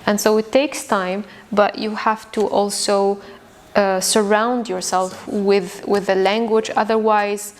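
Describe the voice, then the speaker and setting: A young woman from Tunisia who speaks English as a second language after Arabic, talking as a guest teacher in a casual interview, thoughtful and pausing often to restart her sentences. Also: medium pitch, little pitch movement. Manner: thoughtful, with frequent hesitations and restarts.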